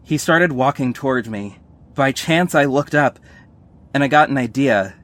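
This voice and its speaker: Man as a wry superhero narrator, mid-pitched. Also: expressive, normal speed, fairly bright tone, lightly acted. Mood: tense recounting. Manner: wry, earnest first-person storytelling. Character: sardonic young male superhero narrating his own fight. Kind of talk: scripted audio drama narration.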